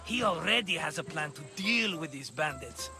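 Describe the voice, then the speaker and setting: A man's voice: on the high side, expressive, moderate pace, creaky, over music. A man playing a scheming, wheedling merchant in a video game, glib and theatrical like a salesman. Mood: cajoling. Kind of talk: video game cutscene acting.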